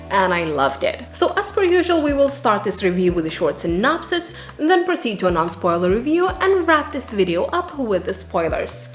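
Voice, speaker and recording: Lady doing a book review, highish voice, faint music behind her. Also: echoey room. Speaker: book reviewer, video host.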